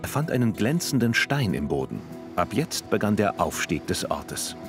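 Deep-voiced male documentary narrator. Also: very animated, moderate pace. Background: music.

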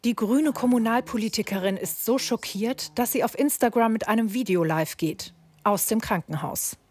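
A woman's voice: a little high, moderate pace, darkish tone. A woman reporter reading scripted narration for a documentary news report.

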